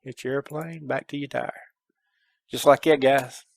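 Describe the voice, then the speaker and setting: A man's voice: medium pitch, fairly even intonation, a little slow, vocal fry, dry recording. A middle-aged man giving a casual, folksy step-by-step game tutorial on a headset, addressing his viewers informally. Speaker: gameplay tutorial host.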